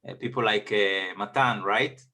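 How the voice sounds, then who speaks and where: A man hosting an interview, polite and halting with frequent "uh" fillers. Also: fairly low pitch, bright, forceful tone. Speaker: interview host.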